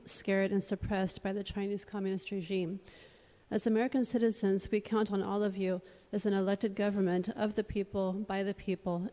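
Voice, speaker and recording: Woman, public commenter, earnest formal appeal, soft dark tone, long hall reverb. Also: medium pitch. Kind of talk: prepared formal address at a meeting. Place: large echoing public meeting chamber.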